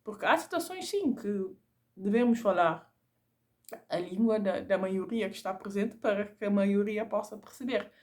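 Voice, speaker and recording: A woman's voice: mid-range voice, moderate pace, a little mellow. A Cape Verdean woman whose first language is Creole, speaking Portuguese with a Cape Verdean accent in a reflective, casual vlog, thinking aloud and often repeating words as she searches for them. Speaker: vlogger.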